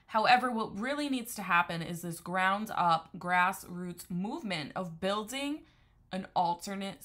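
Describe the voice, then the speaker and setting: Earnest woman, low and bright. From New Haven, Connecticut area, USA. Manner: earnest, discursive, restarts often. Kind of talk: unscripted religious monologue.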